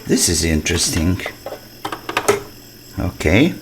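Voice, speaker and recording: Man, deep-pitched, unhurried, halting phrases, casual repair commentary, noisy clicky recording. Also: sing-song. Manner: halting, thinking aloud in short fragments. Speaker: electronics repair hobbyist presenting his restoration.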